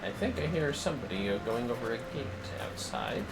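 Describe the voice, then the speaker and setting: A man's voice: sing-song, a little fast, heavy background noise. A man livestreaming a game, muttering casual, wry, offhand commentary to himself. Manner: low-key, wry, offhand.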